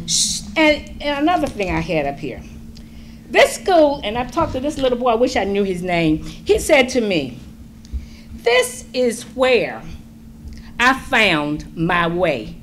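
Middle-aged woman, public forum speaker: slow, earnest, swooping emphasis, standing mic in a big room with faint hum. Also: a little high, fairly bright tone. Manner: earnest, pleading, with emphatic rising stresses. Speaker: public commenter. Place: large school meeting room. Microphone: standing mic at a distance.

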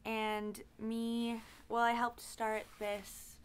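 Young female guest, fairly high voice, casual chat, with faint noise and ambience behind. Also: monotone, drawn-out words. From Yukon, Canada. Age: young adult. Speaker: podcast guest.

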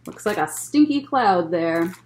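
A woman in her thirties reading a picture book aloud at a children's story time, expressive and deliberate, with explanatory asides. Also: lowish voice. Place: indoors, library room with bookshelves.

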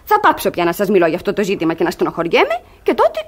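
An actress playing a crafty young ward in a radio theatre comedy, coaxing and feigning wounded virtue with theatrical emphasis. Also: female voice, medium pitch. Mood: plaintive protest. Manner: theatrical, emphatic, artfully expressive.

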